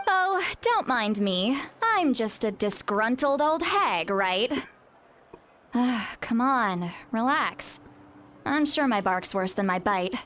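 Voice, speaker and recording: A woman's voice: a little high, big pitch swings, takes it slowly, a bit bright, full character voice. An actress playing a haughty, teasing, young-looking elder woman in a video game, with theatrical, sardonic phrasing. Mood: mock offended.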